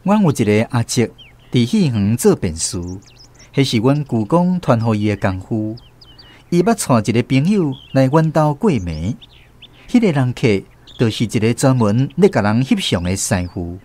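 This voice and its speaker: A man with a Taiwanese accent hosting and narrating a scripted radio programme in an expressive storytelling manner. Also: mid-range voice, normal speed, slight background noise.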